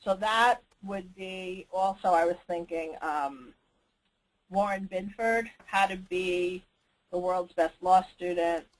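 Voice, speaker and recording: A woman's voice: fairly low pitch, slow pace. A woman leading a group conference call as organizer, talking informally and tentatively, thinking aloud and checking in with the others. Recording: noisy conference call line.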